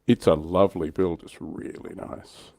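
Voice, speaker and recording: Deep, mellow-voiced man hosting a livestream. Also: steady tone, unhurried, creaky. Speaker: livestream host. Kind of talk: casual livestream chat.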